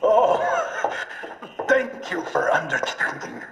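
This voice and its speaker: Game villain: obsequious scientist, excitable high voice, very animated, bright tone, roomy echo. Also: male voice, takes it slowly, gravelly, slight background noise, full character voice. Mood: fawning. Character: oily, obsequious villain scientist dealing in organs. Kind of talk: video game cutscene acting. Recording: echoey hall with long reverb.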